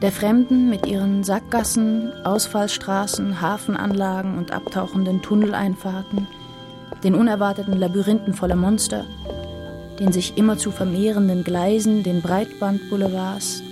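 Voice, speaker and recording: Woman as a measured noir storyteller over music. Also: mid-range voice, level tone, lightly acted. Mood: cool. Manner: measured, descriptive, poetic. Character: cool woman narrator of a noir tale. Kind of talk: literary noir radio drama narration. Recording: music behind the voice.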